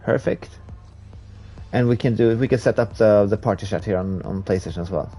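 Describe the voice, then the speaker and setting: A man's voice: on the low side, a little fast, gravelly, warm tone, soft background music. A man from Sweden, English not his first language, chatting casually to viewers and reading comments while he races on a live stream. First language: Swedish. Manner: chatty, laughs at himself, reads chat aloud.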